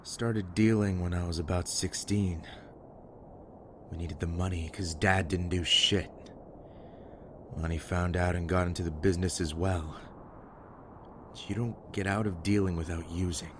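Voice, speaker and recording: A man acting in an audio drama as a bitter, wounded, foul-mouthed survivor with a halting, raw delivery. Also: deep voice, steady tone, noisy background. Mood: halting, pained.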